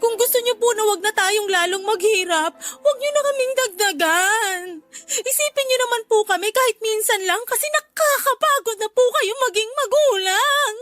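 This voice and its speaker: Casting: woman as exasperated teenage-style daughter for radio drama; piercingly high, reproachful, pleading, still polite. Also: moderate pace, fairly bright tone, dry recording. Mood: weary, upset. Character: exasperated, outspoken school-age daughter, polite but weary. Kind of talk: Tagalog radio drama acting. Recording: music behind the voice. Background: music.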